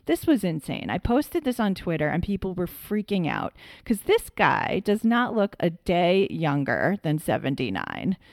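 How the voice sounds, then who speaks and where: A woman's voice: lowish voice, wildly swooping pitch, measured pace, creaky, hardly any pauses, no room sound, studio quality. A woman co-hosting a podcast, chatting casually about political news in an emphatic, incredulous, colloquial way. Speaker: podcast co-host.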